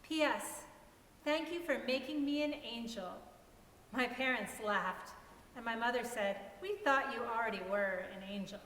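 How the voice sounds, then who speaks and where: A woman's voice: a little high, measured pace, fairly bright tone. A woman reading a story aloud in a dramatic narration. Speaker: reader in a church staff dramatic reading. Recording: echoey hall, distant mic, faint noise.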